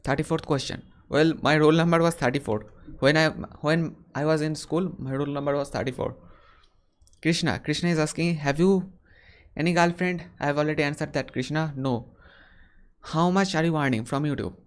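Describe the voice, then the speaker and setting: A man in his early twenties from West Bengal with a Bengali Indian accent, answering viewer questions casually and deliberately, often repeating his phrases. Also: a little high. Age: early 20s. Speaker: YouTuber hosting a Q&A live stream.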